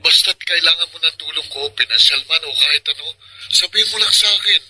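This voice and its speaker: An actor playing a heartbroken, anxious young Filipino boyfriend in a radio drama. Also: male voice, fairly high pitch, fast talker, bright, forceful tone, slight background noise, poor audio. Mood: pleading, hurt.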